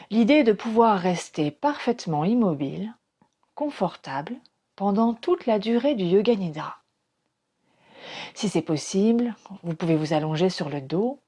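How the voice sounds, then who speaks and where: A woman leads a guided meditation in a dark, relaxed tone. Her voice has a breathy, husky edge and moves very slowly. She gives calm, soothing instructions in short phrases, leaving pauses between them. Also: mid-range voice. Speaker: yoga nidra teacher.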